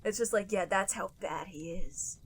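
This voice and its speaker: A woman's voice: mid-range voice, normal speed, husky. A young adult woman co-hosting a casual podcast, chatting loosely with lots of filler words and frequent laughter. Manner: laughs often, many "like" fillers. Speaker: podcast co-host. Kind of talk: casual chat.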